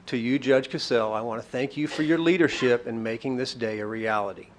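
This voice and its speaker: A man reading a measured, appreciative statement at a podium microphone in a county courtroom. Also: medium pitch, a little hiss or hum. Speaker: public commenter. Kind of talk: prepared public statement.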